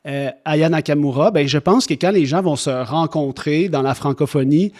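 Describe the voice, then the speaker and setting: A man's voice: a little high, moderate pace, a little mellow. A man with a Québécois accent, chatting casually as the guest on a podcast. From Quebec, Canada. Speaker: podcast guest, clinic founder. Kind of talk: casual chat.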